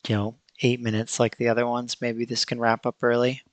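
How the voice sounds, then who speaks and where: Man, podcast host, casual and hesitant, mid-pitched voice, dry close sound. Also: completely flat delivery, fairly quick, no echo. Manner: thoughtful, hesitant, with many fillers and restarts.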